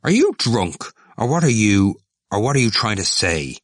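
A man's voice: fairly low pitch, big pitch swings, normal speed, no echo, studio quality, pitched above the performer's own voice. Man voicing a blunt, scornful young student. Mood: rude. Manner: blunt, vehement, mock-urbane. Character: scornful, rude young male student, coolly sneering. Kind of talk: audiobook character voice.

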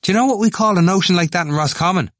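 A man voicing an excitable, scornful young male student arguing insistently in an audiobook. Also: mid-range voice, fast talker, dry recording, clean studio sound, voice pushed up, close to an everyday voice. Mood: scornful, excited. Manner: crying out insistently in argument. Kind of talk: acted audiobook dialogue.

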